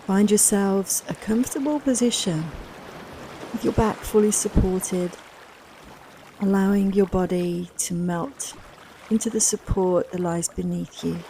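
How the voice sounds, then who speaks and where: A woman leading a guided relaxation meditation in a soft, soothing, unhurried, reassuring manner, with long pauses between phrases. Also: medium pitch, a little hiss or hum. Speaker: guided meditation and yoga nidra teacher.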